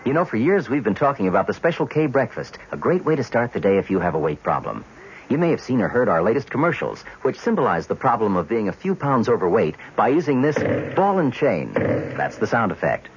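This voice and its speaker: A man's voice: medium pitch, huge pitch swings, fairly quick. A man reading a radio commercial in a friendly, conversational way. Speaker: commercial announcer. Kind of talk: radio advertisement. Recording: dull lo-fi vintage radio broadcast.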